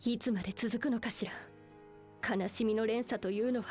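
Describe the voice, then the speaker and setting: Very rapid, high-voiced woman soldier. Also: steady tone, darkish tone, husky, soft background music. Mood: weary lament. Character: weary, earnest woman soldier lamenting an endless war. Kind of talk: Japanese video game drama acting.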